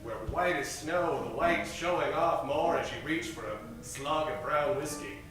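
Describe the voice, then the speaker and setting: A man's voice: fairly high pitch, normal speed. A man reading scripted narration for a noir radio comedy. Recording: echoey, distant live stage recording. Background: faint music.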